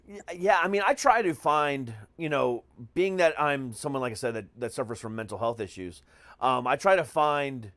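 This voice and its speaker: A man's voice: medium pitch, animated, moderate pace, fairly bright tone, no room sound. A man hosting a podcast in casual conversation, talking in an emphatic, slangy way with frequent swearing. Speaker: podcast host. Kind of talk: casual chat.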